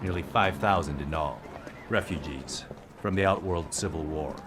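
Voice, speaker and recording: A man's voice: very deep voice, moderate pace, music in the background. An actor playing a sober military man giving a briefing in a video game cutscene. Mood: matter-of-fact. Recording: clear outdoor ambience behind.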